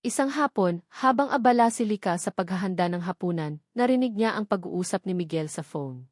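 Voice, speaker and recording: A woman's voice: medium pitch, animated, brisk, a little mellow, no echo, studio quality. A woman with a Filipino accent reading a scripted romantic drama as a storyteller. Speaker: story narrator. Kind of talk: scripted dramatic narration of a Tagalog love story.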